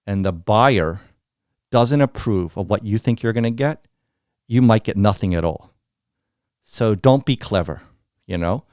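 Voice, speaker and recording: Middle-aged American man, blunt storyteller, lively delivery, dry close sound. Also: on the low side, smooth, no echo. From United States. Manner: blunt, animated, acts out quoted dialogue. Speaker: guest. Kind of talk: candid storytelling interview.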